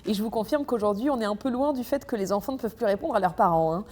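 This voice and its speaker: A woman's voice: medium pitch, racing, darkish tone. A woman hosting a podcast interview, asking questions and affirming her guest. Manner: friendly, affirming, frequent agreement. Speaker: podcast host. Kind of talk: interview conversation. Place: ornate hall.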